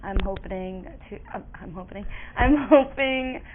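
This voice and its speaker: A woman's voice: medium pitch, a little slow. A young woman from the Boston area chatting casually as a student guest in an interview. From Boston area, United States. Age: young adult. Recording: phone-like, noisy room ambience.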